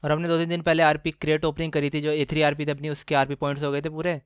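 A man giving casual, excited gameplay commentary, slangy and exclamatory, repeating phrases for emphasis. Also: fairly high pitch, fast, clean tone. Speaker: gaming channel host.

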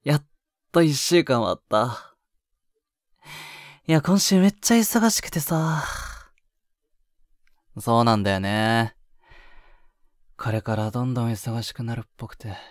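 A male voice actor plays a young boyfriend, tender, longing and clingy, in an intimate roleplay drama. He speaks unhurriedly at a middling male pitch, softly and with breathy little chuckles. The sound is dry and close, as if meant for one listener. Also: measured pace, long pauses, no echo, natural voice. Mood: tired, reassuring.

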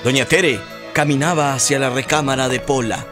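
A man's voice: mid-range voice, normal speed, in a large hall. A man giving melodramatic scripted narration as the narrator of a comic radio soap. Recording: music behind the voice.

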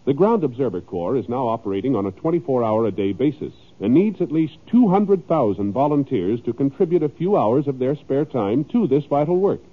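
A man's voice: medium pitch, sing-song, brisk, few pauses, breathy edge. An American man announcing in formal, emphatic 1950s radio style from a script. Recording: phone-like, narrow old radio recording.

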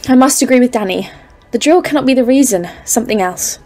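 A woman's voice: on the high side, fast, a little mellow, close to an everyday voice. A woman acting in an audio drama as a haunted expedition leader of thirty-four, grave and literary, foreboding in tone. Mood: worried, resolute. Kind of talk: scripted audio-drama narration and dialogue. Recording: clearly noisy, ambient background.

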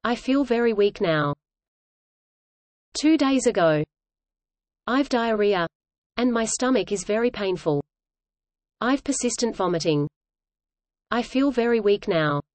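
Higher-voiced woman reading lesson lines. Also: dry recording. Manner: measured, even delivery, each line repeated. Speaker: English lesson reader. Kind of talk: scripted lesson dialogue read aloud.

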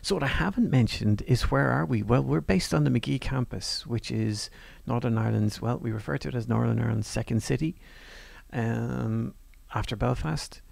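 A man giving a university course presentation over slides in a relaxed, conversational way, with fillers and restarts. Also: mid-range voice, normal speed, creaky, no echo. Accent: non-Northern Irish.

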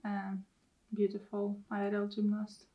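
A woman from Russia talks casually in a seated interview, using simple, broken English. Her voice sits at an ordinary middle pitch. She is picked up from slightly away from the microphone. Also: monotone, unhurried, distant mic, muffled recording. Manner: simple non-native English, light laughs. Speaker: aerialist and costume maker. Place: indoor costume workshop.

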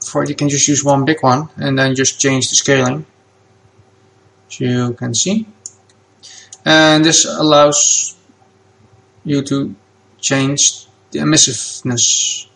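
A man whose first language is Dutch, talking through a software tutorial at his computer in a relaxed, plain step-by-step way. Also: medium pitch, slow, creaky, long pauses, slight background noise, poor audio. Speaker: game asset creator.

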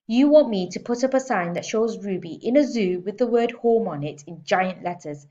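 A woman's voice: medium pitch, animated, moderate pace, mellow, muffled recording, natural voice. Woman doing a weary skeptical dad. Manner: skeptical, exasperated. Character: weary reluctant middle-aged father.

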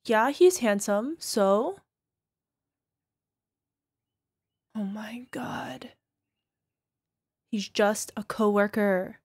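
A woman's voice: medium pitch, a little mellow. A woman acting as a toxic, gaslighting young girlfriend in a realistic ASMR roleplay, dismissive and exasperated. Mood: defensive, exasperated. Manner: exasperated sighs, scornful, halting defensive delivery. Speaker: voice actor.

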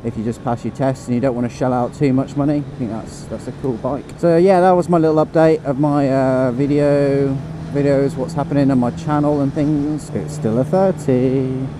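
A man's voice: medium pitch, fairly even intonation, moderate pace, few pauses. Chatty motorbike guy talking into his helmet cam, road noise all over it. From England. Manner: chatty, rambling, chuckles to himself. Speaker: motorcycle vlogger. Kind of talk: casual riding commentary. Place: on a motorcycle on country roads. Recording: wind and engine noise from riding.

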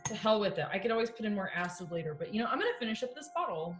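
A woman's voice: mid-range voice, sing-song, racing. A woman in her thirties chatting casually while she cooks at home, talking on a video call with plenty of fillers and asides. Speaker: composer and guest. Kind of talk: casual chat while cooking. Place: home kitchen, indoors. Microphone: video call laptop or webcam. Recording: echoey kitchen, faint music.

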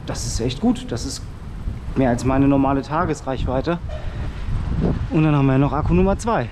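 A man's voice: medium pitch, moderate pace. A man in his thirties, chatting casually to a bike-mounted camera while riding a riverside path outdoors. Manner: chatty, upbeat, thinking aloud. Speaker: cycling travel vlogger. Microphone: action camera mounted on the bike. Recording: loud wind and road noise.

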